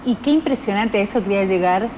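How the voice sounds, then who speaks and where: A woman's voice: medium pitch, expressive, fast, a little mellow, a little hiss or hum, poor audio. Attentive Argentine woman interviewer. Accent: Argentine Spanish. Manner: attentive, gives frequent short backchannels. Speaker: interviewer host. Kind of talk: interview conversation.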